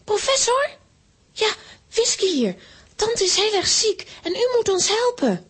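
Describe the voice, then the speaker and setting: A woman playing an excitable, headstrong young girl in a children's audio drama, eager and emotional. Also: extremely high pitch, slow pace, full character voice. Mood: anxious, pleading.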